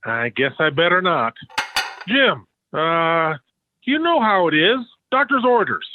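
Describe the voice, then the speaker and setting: An actor playing a timid, agreeable, middle-aged henpecked husband in a 1940s radio comedy, meek and hesitant. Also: male voice, a little high, sing-song, slow, background noise. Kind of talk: 1940s radio sitcom acting. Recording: dull 1940s radio recording with music.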